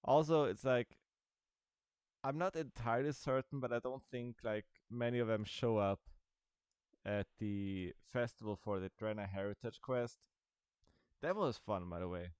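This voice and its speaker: A man's voice: medium pitch, a little slow, a little mellow, clear, resonant tone, no echo. A man giving casual, rambling commentary over a game he is playing, with dry, sarcastic asides. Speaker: gaming video creator.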